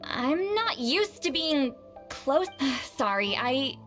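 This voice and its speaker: A woman's voice: high voice, big pitch swings, takes it slowly, a bit bright, soft background music, full character voice. An actress playing a centuries-old but youthful-sounding shaman woman in a video game, guarded, prickly and awkward, with hesitant, melancholy delivery. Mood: self-conscious. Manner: flustered, hesitant, sighing, turning wistful.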